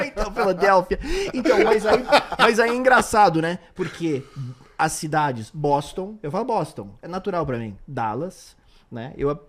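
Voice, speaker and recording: A man's voice: sits high, moderate pace. A man with a slight American accent whose first language is English, a sports commentator telling stories in a casual podcast chat. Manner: animated storyteller.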